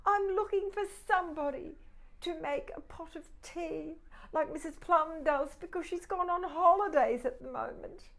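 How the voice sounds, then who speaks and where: A woman's voice: extremely high pitch, normal speed, vocal fry, a bit bright, pitched above the performer's own voice, exaggerated voice. A woman voicing a fussy female teapot in a children's story, speaking in a plaintive sing-song way.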